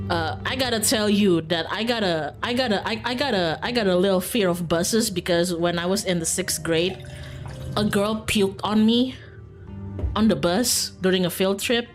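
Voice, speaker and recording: A woman livestreaming a visual novel, reading the game text aloud and breaking off into playful, self-teasing, casual asides to viewers. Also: medium pitch. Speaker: streamer playing a visual novel. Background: faint game music.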